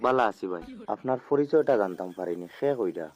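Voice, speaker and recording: A man's voice: deep voice, animated, fairly quick, a little mellow. A man answering a phone call, asking polite, puzzled questions. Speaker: content creator receiving the call. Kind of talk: phone conversation.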